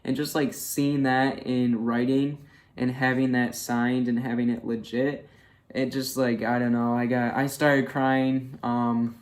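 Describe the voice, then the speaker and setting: A man's voice: mid-range voice, level tone, unhurried. A twenty-one-year-old man chatting candidly in a casual personal vlog, with many fillers. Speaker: vlogger.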